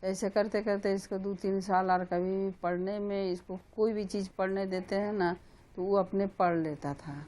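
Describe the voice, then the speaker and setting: A woman's voice: mid-range voice, fairly even intonation, moderate pace, darkish tone. An older woman, a grandmother, talking in a homely, rambling way about her grandson. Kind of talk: casual interview.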